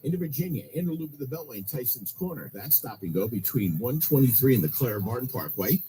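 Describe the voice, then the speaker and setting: A man giving a radio traffic report in a brisk delivery. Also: mid-range voice, lively intonation, warm tone, low-quality recording. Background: music bed.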